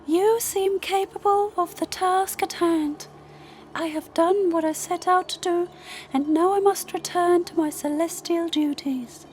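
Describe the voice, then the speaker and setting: A woman voicing a gentle, solemn celestial moon spirit in a fantasy audio drama, speaking formally and with measured care. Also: very high voice, slight background noise. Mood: gentle, caring. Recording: music bed under voice.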